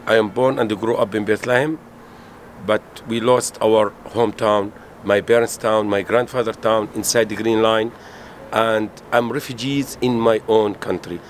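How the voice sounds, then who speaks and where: A man's voice: on the low side, fairly even intonation, slight background noise. Man guiding a street tour. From Palestine. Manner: halting non-native English, reading out figures. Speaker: tour guide. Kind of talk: explaining to visitors. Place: outdoors by a street wall.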